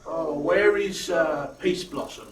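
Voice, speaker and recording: A man's voice: a little high, slow. A man playing a self-important, courteous buffoon turned ass in a comic Shakespearean audio drama, speaking with hesitations. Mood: lordly contented. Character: pompous male buffoon with an ass's head. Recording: roomy, distant, faint noise.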